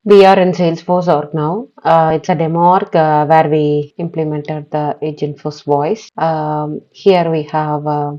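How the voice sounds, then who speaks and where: Female demo presenter, very low pitched and mellow, explaining software step by step. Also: fairly even intonation, unhurried, few pauses. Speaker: developer giving a demo. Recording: slightly dull, lo-fi audio.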